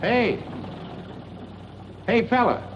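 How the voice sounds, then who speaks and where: A man's voice: very high voice, expressive, very slow, heavily acted. An actor playing a folksy, talkative small-town newspaperman in a 1940s radio drama, rambling in clipped phrases. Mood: friendly, chatty. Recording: dull, noisy old radio recording.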